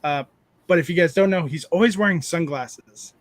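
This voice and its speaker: A man's voice: a little high, normal speed. A man in his thirties hosting a casual livestream, rambling and joking while he reads out chat messages. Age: 30s. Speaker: livestream host.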